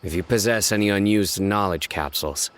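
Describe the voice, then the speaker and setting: A man voices a grim game lawman with a very low, deep voice. He speaks curtly and formally, issuing commands and questions without softness. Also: brisk, natural voice. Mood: stern. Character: stern young male lawman, grim and relentless. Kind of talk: video game voice acting.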